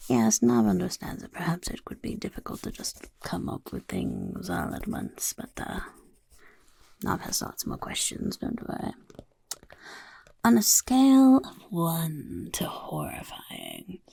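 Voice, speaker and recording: Woman doing a sly goblin overlord, whispered, gravelly, slow, swooping pitch; soft-spoken ASMR roleplay. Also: huge pitch swings, no echo, full character voice. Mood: scheming. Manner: whispery, speaks of self in third person. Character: goblin overlord of a chaos horde, sly and self-important. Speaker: interviewer.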